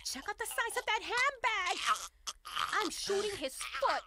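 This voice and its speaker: A woman's voice: extremely high pitch, very animated, unhurried, very noisy, exaggerated voice. A woman playing a fiery, melodramatic girlfriend in a comedic audio drama, exclaiming theatrically. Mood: threatening. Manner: theatrical, exclaiming, peppering English with Spanish.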